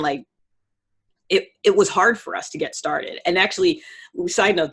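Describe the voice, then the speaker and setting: A woman's voice: lowish voice, husky. An American woman, around her thirties, talking casually and informally as she explains things in a podcast-style monologue. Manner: informal, conversational, peppered with personal examples. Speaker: podcast host.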